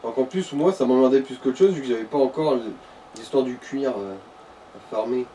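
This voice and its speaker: A man's voice: medium pitch, a little fast, dark tone. A man chatting casually as he guides a friend through a video game, dry and slangy. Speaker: co-commentator guiding the player. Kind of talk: casual gaming chat. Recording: slightly distant mic, faint background noise.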